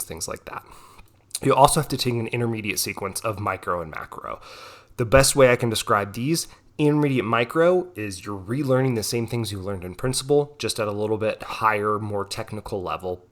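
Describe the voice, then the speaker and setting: A man's voice: on the low side, fairly bright tone. A young man with a cold, a little nasal, explaining a topic in a casual tutoring talk with frequent "right?" tags. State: has a cold, may sound nasal. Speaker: economics tutor.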